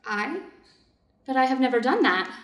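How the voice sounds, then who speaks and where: A woman's voice: sits high, sing-song, moderate pace, a bit bright. A woman playing an anxious, dutiful Japanese doctor's wife and mistress of servants in a staged play reading. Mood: anxious, squeamish. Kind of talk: staged readers' theater acting. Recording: echoey room, distant microphone.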